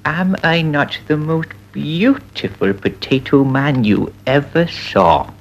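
A man's voice: a little high, takes it slowly, low-quality recording. A man voicing a proud, boastful potato man who calls himself king, with a pompous sing-song delivery. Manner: pompous, self-admiring, sing-song rhymes.

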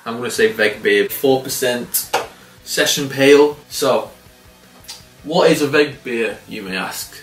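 A man in his thirties casually reviewing a beer to camera, relaxed and swearing freely with frequent chuckles. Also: medium pitch, unhurried, faint music behind. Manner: laid-back, swears casually, chuckles often. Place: small indoor room by window blinds. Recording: roomy and slightly distant.

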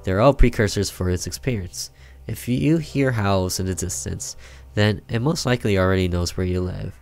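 Guy doing an ominous tv documentary narrator for a horror game, lowish, music behind. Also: moderate pace, gravelly, darkish tone. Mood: foreboding. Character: ominous documentary announcer warning of a forest monster.

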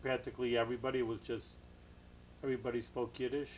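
A man's voice: medium pitch, flat delivery, fast talker, a little mellow, slight background noise, low-quality recording. A middle-aged man, a rabbi hosting a TV studio interview, speaking conversationally and searching for words. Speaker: rabbi, host.

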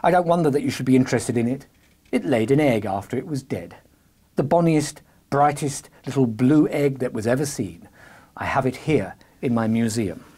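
A man playing a shrewd, confident gentleman detective in a dramatised story, husky, courteous and briskly commanding. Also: mid-range voice, lively intonation. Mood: teasing, assured. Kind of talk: dramatised audiobook acting.

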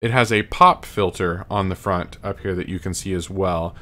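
Rambling man with a low voice. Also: normal speed, pushed and bright. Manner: rambling, self-correcting, apologetic asides. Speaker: streamer reviewing his microphone. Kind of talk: casual product review to webcam. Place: small home room. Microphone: studio condenser mic close to face.

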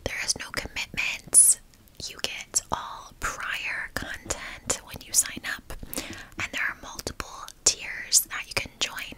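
A woman whispering a guided visualization for ASMR in a breathy, unvoiced whisper, gentle and soothing with a casual rambling manner. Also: takes it slowly, low-quality recording. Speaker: ASMR video host.

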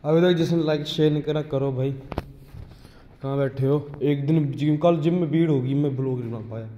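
Male vlogger, informal and mellow, short remarks to viewers; slightly muffled handheld phone sound. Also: a little high, fairly quick. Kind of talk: casual handheld vlog chat. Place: indoors in a mostly empty gym. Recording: muffled, noisy phone recording.

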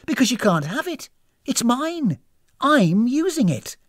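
A woman voicing a suspicious, sharp-tongued woman traveller in a storybook, snappish and sardonic. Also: medium pitch, sing-song, normal speed, breathy edge, no room sound, studio quality, pitched above the performer's own voice. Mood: alarmed, snappish. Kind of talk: storybook character voice.

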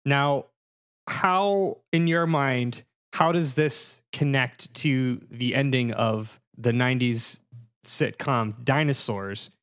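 Male podcast host, mid-pitched, casual and mock-serious, steering the panel with probing questions. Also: takes it slowly, fairly bright tone, clean tone.

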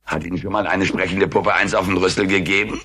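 A man voicing a cheeky, hungry furry alien in a German radio play, raspy and deadpan as he wisecracks. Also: low-pitched, fast, very noisy, close to an everyday voice. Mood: indignant, wry.

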